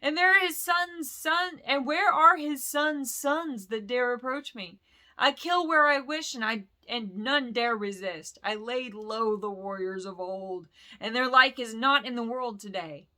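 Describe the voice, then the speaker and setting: Wicked ancient dragon, slow, proud, loud, woman reader putting it on. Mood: boasting. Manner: slow, booming, gloating. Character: huge ancient boastful male dragon, wicked and wily.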